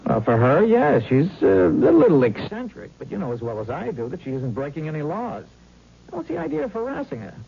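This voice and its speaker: A man's voice: on the high side, wildly swooping pitch, fairly quick, gravelly, a crowd in the background, full character voice. A man playing an earnest, principled young professional, casual and protective, in an old-time radio drama. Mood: indignant, reasoning. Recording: muffled, slightly noisy vintage radio recording.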